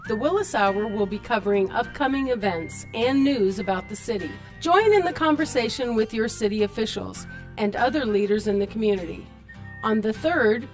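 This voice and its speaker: An American woman, a city official, reading a formal scripted radio announcement. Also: on the high side. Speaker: city animal control officer. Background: music bed.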